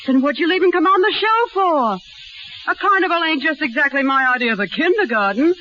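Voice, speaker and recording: Brash high-voiced carnival woman, old radio. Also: animated, brisk, pushed and bright. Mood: defiant. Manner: slangy, brash, colloquial. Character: tough, defiant young carnival woman, secretly married. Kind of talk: 1930s radio acting. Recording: muffled, noisy 1930s radio recording.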